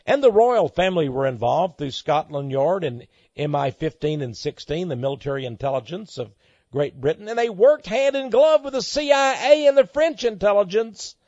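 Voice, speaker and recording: A man's voice: high-pitched, animated, normal speed, darkish tone, no echo, highs cut off. A man speaking as a talk show host and preacher, in an impassioned, emphatic monologue that repeats phrases for dramatic effect.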